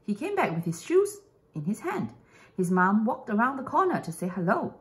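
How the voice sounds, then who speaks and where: A woman reading a children's picture book aloud in an expressive, dramatic way, with emphatic pauses and hushed asides. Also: medium pitch. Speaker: storybook reader.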